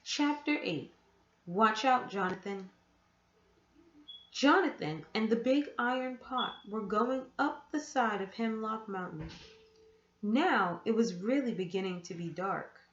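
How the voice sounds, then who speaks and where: A woman reading a children's story aloud with expressive, deliberate storytelling. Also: mid-range voice, slight background noise. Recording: echoey room, dull muffled sound.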